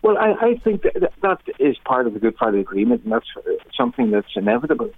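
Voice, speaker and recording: An older man with a Northern Irish accent giving thoughtful, halting, anecdotal answers as a radio interview guest over a phone line. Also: sits high, wildly swooping pitch, vocal fry, no room sound. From Northern Ireland. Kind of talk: reflective radio interview talk. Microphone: telephone. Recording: thin narrow-band phone line.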